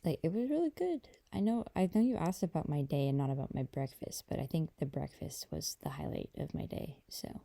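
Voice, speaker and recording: Woman playing a mellow, creaky-voiced affectionate girlfriend. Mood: relaxed, affectionate. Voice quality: dark, creaky low voice. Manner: soft, hesitant, unhurried conversational delivery. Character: affectionate, gentle girlfriend chatting with partner. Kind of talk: intimate ASMR roleplay.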